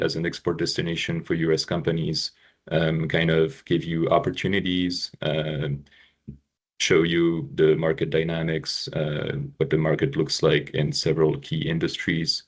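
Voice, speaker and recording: Very deep husky french-accented guy presenting a webinar, lots of uhs and ums. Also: little pitch movement. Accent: Quebec French. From Quebec, Canada. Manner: measured, informative, frequent ums and uhs. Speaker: trade consultant presenting.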